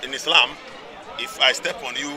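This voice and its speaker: A man's voice: mid-range voice, normal speed. A man, arguing insistently in a heated street debate and repeating himself to hold the floor. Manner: insistent, repeats "listen" over and over. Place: outdoor public speaking area. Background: crowd of onlookers.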